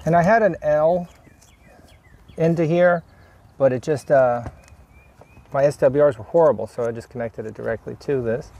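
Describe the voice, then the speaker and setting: A man's voice: a little high, moderate pace, pauses often, mellow. A man narrating a hands-on DIY job casually as he works, thinking aloud in short, plain, unscripted remarks. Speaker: DIY vlogger demonstrating a vehicle repair. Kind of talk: casual hands-on how-to narration while working.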